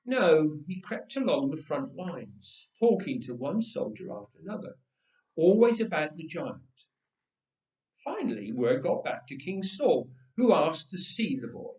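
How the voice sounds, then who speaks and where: A man reading a story aloud with expressive, playful and dramatic narration, phrasing it deliberately. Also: on the high side, far from the mic. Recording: roomy, echoing room sound.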